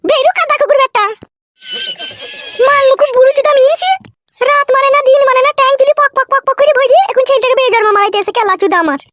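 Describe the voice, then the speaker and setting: A woman's voice: very high voice, fast talker, fairly bright tone, no room sound. A woman voicing an indignant, foul-mouthed village wife in a comic cartoon, combative and crude. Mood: furious.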